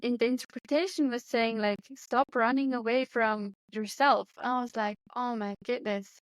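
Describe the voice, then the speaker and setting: A woman with a Swiss accent speaks in a somewhat high voice, earnest and searching, often restarting her sentences. She is a guest in a casual interview. The sound is dry and close. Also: steady tone, moderate pace, no room sound. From Switzerland. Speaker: guest, medium and coach.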